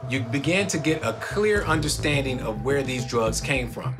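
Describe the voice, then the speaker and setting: Female crime commentator, lively pitch movement, music bed. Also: moderate pace. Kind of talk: documentary commentary. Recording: music underneath.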